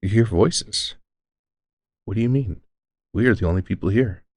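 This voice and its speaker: A man playing a deranged centuries-old elf shopkeeper who is courteous, formal and unsettlingly calm, in an audio roleplay. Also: on the low side, moderate pace, no room sound, studio quality, lightly acted. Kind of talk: scripted audio roleplay addressing the listener.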